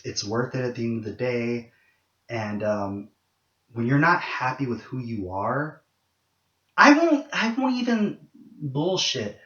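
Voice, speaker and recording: A young man with a low voice talks candidly to the camera in a rambling vlog, with many ums and restarts. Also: fairly bright tone, roomy sound, dull audio. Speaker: vlogger. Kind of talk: casual monologue to camera.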